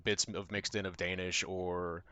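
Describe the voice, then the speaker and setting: A young Canadian man with a Canadian accent talks in a low-pitched voice, rambling loosely with lots of fillers and offhand swearing. The sound is dry and close, with no room around it. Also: level tone, unhurried, sharp tone, clear, resonant tone, no room sound. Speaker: streamer. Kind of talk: casual chat with viewers while gaming.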